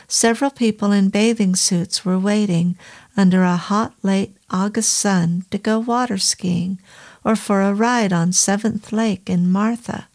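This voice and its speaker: A woman narrating a horror novel in a measured, even reading style. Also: medium pitch. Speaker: audiobook narrator.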